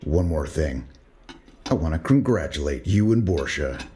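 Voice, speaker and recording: A starship lieutenant with an extremely deep voice, played by a man, delivering his report in a steady, matter-of-fact way. Audio drama acting. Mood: briefing. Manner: measured, matter-of-fact briefing. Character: lieutenant and fighter pilot on a starship.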